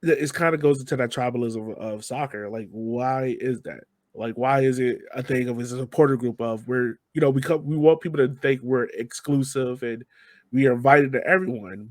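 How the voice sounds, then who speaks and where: A man's voice: mid-range voice, steady tone, moderate pace, a little mellow, dry recording. A man hosting a casual podcast interview, talking informally and thinking aloud as he frames his questions. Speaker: podcast host.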